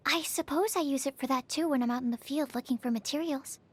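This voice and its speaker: A woman's voice: sits high, fast talker, lightly acted. A woman voicing a shy, bookish young female alchemist in a video game, speaking in a hesitant, polite and earnest way. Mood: earnest, reassuring. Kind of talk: English video game character voice acting.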